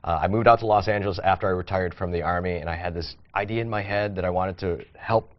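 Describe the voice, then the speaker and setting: A man's voice: sits low, fairly even intonation, fast talker. A man in his thirties, a comedian guest on a TV morning show, telling jokey stories about his life. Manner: storytelling, jokey. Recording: dull TV broadcast sound.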